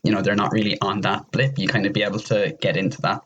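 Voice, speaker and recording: A man with a deep, husky voice talks casually. His delivery rambles, circling back and repeating words as he builds a point in relaxed conversation. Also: quick pace, muffled recording. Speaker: podcast guest. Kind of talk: casual chat.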